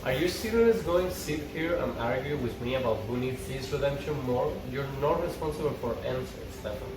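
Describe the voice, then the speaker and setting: A man's voice: medium pitch, fairly quick, background noise, close to an everyday voice. A man reading the part of a cocky but devoted older brother, a vampire, in a drama table read. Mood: insistent, devoted. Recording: roomy, distant mic, music underneath.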